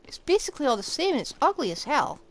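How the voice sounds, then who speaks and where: A woman's voice: sits high, big pitch swings, fast talker, a little hiss or hum. A woman doing live gameplay commentary, thinking aloud with blunt remarks. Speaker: game streamer.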